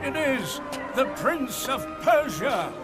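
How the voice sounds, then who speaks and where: A man's voice: very high-pitched, very animated, heavily acted. An actor playing a townsman in a video game, proclaiming in a declamatory manner. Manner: declamatory, slow. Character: townsman solemnly proclaiming a hero to a crowd. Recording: loud music and crowd behind.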